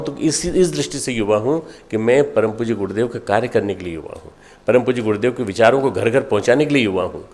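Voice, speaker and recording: An older man delivers a motivational spiritual discourse, exhorting listeners with short, emphatic, repeated phrases and pauses between them. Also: medium pitch. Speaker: spiritual leader addressing followers.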